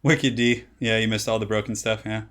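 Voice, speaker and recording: A man's voice: lowish voice, fairly even intonation, brisk, fairly bright tone. Rambling young man casting a strategy game. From California. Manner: rambling, filler-heavy with many "like"s. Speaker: streamer and caster. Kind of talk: casual game commentary with chat.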